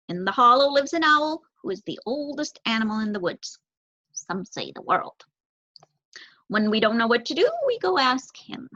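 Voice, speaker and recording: Woman voicing an eager young male crow, animated. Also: fairly high pitch, dull audio, full character voice.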